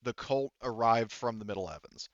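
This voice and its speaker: A man acting as game master, casually explaining game lore in a halting way with many restarts. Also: medium pitch, fairly bright tone, dry recording. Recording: slightly dull, dry close mic.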